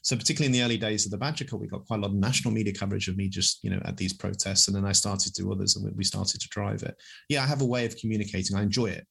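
Man, 50s, campaigner, rapid delivery, low pitch, husky, loud and carrying. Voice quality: loud voice that carries. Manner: fast, animated, speaks off the cuff. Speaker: animal advocacy campaigner, guest. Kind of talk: conversational interview answers.

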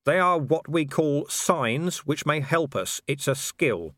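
A man's voice: fairly high pitch, lively intonation, slow pace, a bit bright, dry recording, studio quality, voice pushed up. A man voicing a weary, sceptical policeman in an audiobook, blunt and wry as he questions. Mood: terse, dry. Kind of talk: audiobook character acting.

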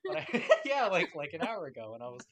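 A man's voice: fairly high pitch, huge pitch swings, a little fast, over a noisy crowd. A man hosting a podcast in a casual chat, rambling and playful with lots of restarts. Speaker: podcast host.

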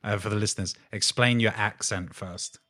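A man's voice: lowish voice, fairly even intonation, normal speed, sharp tone. A man hosting a podcast interview in a casual, informal and inquisitive way, with some swearing. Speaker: podcast host.

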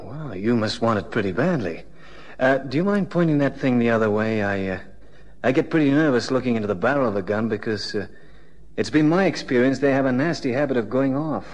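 An actor playing a glib, wisecracking private investigator in a 1960s radio drama. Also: male voice, medium pitch, animated, fairly quick, natural voice. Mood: nervous wisecracking. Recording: noisy old radio drama recording.

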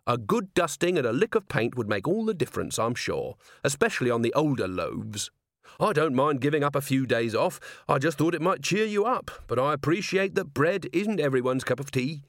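Man playing a cheerful, earnest young watchman, animated pitch. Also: fairly quick, dry recording, clean studio sound. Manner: polite, guileless, enthusiastic.

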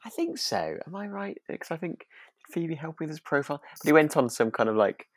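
A man co-hosting a podcast in relaxed, casual chat, hesitant and rambling as he softens his points. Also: a little high, fairly quick, no room sound, studio quality. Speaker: podcast co-host. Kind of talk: relaxed, casual chat reviewing a radio drama.

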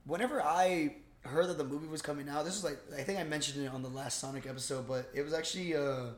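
A young man co-hosting a podcast, chatting casually about films in an excitable, slangy way with some swearing. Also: a little high, fast talker, roomy sound. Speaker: podcast co-host. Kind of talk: casual film review chat.

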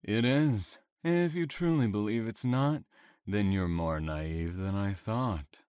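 An actor playing a smug, coldly menacing serial killer in an audio drama, with mocking, formal, drawn-out speech. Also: male voice, on the low side, a little mellow, clean tone, no echo, studio quality. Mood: calm, cruel.

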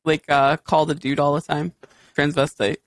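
A woman with a low voice that has a lot of bass, guesting on a podcast in loose, laughing, swear-filled chat. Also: flat delivery, moderate pace, no room sound.

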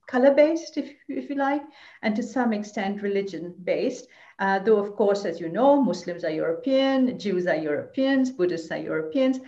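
A woman's voice: medium pitch, darkish tone, roomy sound, dull audio. A woman commentator answering interview questions thoughtfully, with many fillers and restarts. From Belgium.

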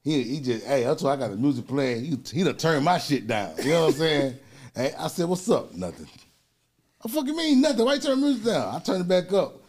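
A middle-aged man chatting casually as a podcast host, telling animated stories and laughing often. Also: on the high side, brisk. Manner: animated storyteller, loud bursts when quoting himself, frequent laughter. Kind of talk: casual chat, storytelling.

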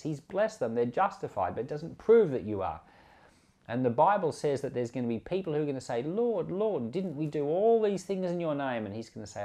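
A man's voice: on the high side, darkish tone. A man preaching an informal Bible commentary in an earnest, conversational way, repeating and rephrasing his points for emphasis. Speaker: preacher and Bible podcast host. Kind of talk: informal sermon commentary on scripture.

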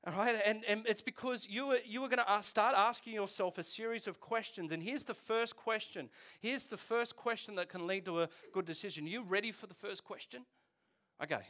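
Aussie pastor guy, 40s, kinda high voice, chatty jokey sermon, keeps checking in with the crowd. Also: fairly quick, pushed and bright. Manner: chatty, jokey, asks the audience questions. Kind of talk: conversational sermon.

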